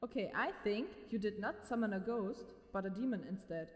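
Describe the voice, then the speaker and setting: A woman's voice: medium pitch, moderate pace, close to an everyday voice. A woman playing a stern young woman who knows the occult, speaking coldly and bluntly in a dramatised horror story. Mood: matter-of-fact, blunt. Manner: cold, blunt, commanding. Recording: echoey with long reverb tail.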